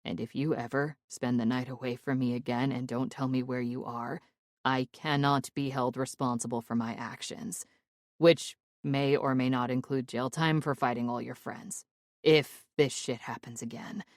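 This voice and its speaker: A woman voicing a remorseful young man in love, speaking low and gravelly in a pleading, apologetic way. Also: fairly even intonation, moderate pace, dry recording, studio quality. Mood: pleading tender. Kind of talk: audiobook character voice.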